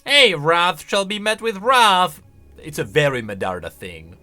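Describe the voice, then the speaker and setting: A man giving casual, opinionated reaction commentary on video game lore in an animated, conversational way. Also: high-pitched, a little slow. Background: faint music.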